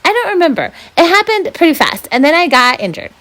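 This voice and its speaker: A woman's voice: very high-pitched, very animated, brisk, a bit bright, voice pushed up, heavily acted. Woman reading as an anxious, sardonic seventh-grade girl. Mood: defensive. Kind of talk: children's novel read-aloud.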